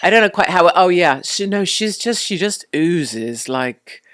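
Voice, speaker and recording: Bawdy low-voiced woman. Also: unhurried. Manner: bawdy, animated, laughs often. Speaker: podcast guest. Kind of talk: casual chat.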